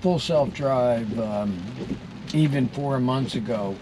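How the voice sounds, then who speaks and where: An older man speaks at an ordinary pitch in a relaxed, matter-of-fact way, with a wry edge. He is recorded inside a moving car by a camera mounted on the ceiling. Heavy rain and road noise drum loudly behind him. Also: slow pace. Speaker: channel host testing a self-driving car. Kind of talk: casual running commentary while driving. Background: rain drumming on the car.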